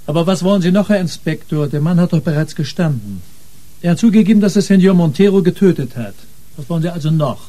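An actor playing an officious, indignant lawyer in a radio crime drama, formal and lecturing. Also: male voice, on the high side, lively intonation, fast, warm tone, natural voice.

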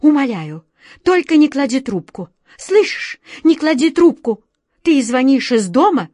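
A woman's voice: fairly high pitch, measured pace, darkish tone, no room sound, studio quality, pitched above the performer's own voice. A woman voicing a nervous office director, a grown man who pleads and stammers in panic. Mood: panicky.

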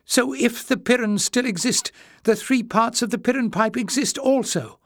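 A man's voice: very high voice, moderate pace, fairly bright tone, no room sound, studio quality, pitched above the performer's own voice. A man voicing a frail, eager old male librarian who mumbles and hesitates.

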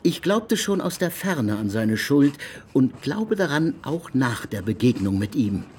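Radio play: self-assured 1920s expert lecturer, formal, deliberate delivery, faint noise behind. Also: male voice, mid-range voice, big pitch swings, darkish tone. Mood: assertive, judging. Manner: formal, measured, pronouncing judgement.

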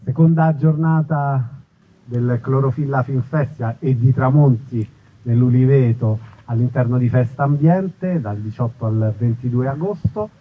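A man's voice: medium pitch, unhurried, a little hiss or hum. A man hosting a live event and interviewing a guest in a casual style. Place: live festival event in an olive grove. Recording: tinny, phone-like live event recording.

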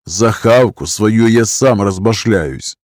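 A man's voice: on the low side, slow, a little mellow, no room sound, studio quality. A man voicing a huge, scarred criminal who makes menacing, drawling threats in prison slang in an audiobook story. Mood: cold, threatening.

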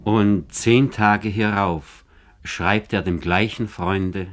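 Male narrator, somewhat low voice, formal, measured, literary radio script. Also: steady tone.

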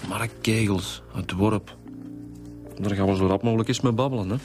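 Man as casual low-voiced Flemish detective. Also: steady tone, normal speed, music in the background, natural voice. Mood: gruff, curious. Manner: colloquial. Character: casual, down-to-earth male homicide detective. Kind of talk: Belgian radio police drama acting.

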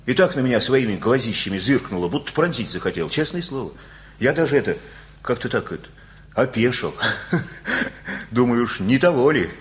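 A man's voice: mid-range voice, natural voice. Actor as a folksy, rambling, talkative father. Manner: rambling, folksy, chuckling colloquial speech. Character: folksy, talkative, worried father meddling with young neighbours. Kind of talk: radio play acting.

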